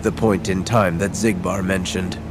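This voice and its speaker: An actor playing a calm, mysterious young male villain in a game, speaking in a measured, cryptic and solemn way. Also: deep voice, background noise, lightly acted. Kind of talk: video game cutscene acting. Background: game soundtrack music.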